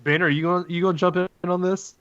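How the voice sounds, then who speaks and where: A man's voice: high voice, fairly even intonation, racing, fairly bright tone, smooth. A man hosting a sports podcast in casual, conversational chat. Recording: dull lo-fi audio.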